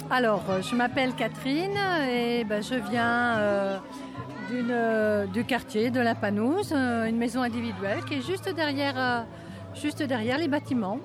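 An older retired woman answering interview questions carefully, with pauses and fillers, at an outdoor neighbourhood party. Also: a little high, crowd noise. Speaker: retired resident volunteer. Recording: outdoor party with music behind.

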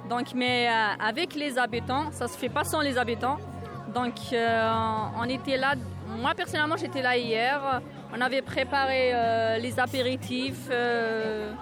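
A woman's voice: on the high side, fairly even intonation, a little slow. Kabyle woman at a noisy street party. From Kabylie, Algeria. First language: Berber (Kabyle). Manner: hesitant, many fillers. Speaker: resident and event volunteer. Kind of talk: casual interview answers. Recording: outdoor party with music and crowd.

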